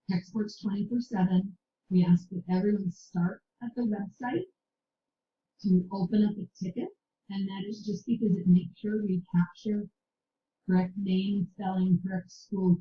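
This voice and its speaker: A woman's voice: mid-range voice, reverberant, muffled recording, a little hiss or hum. A woman presenting a product to teachers over a call, casual and chatty with many fillers. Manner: casual, filler-heavy, with light laughs. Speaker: publisher sales representative.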